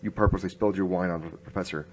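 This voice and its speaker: A man plays a hard-boiled inspector narrating a noir radio drama. His voice is very low, and his delivery is terse and grim, over faint background noise. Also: fairly even intonation, rapid-fire, darkish tone, close to an everyday voice. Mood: accusing.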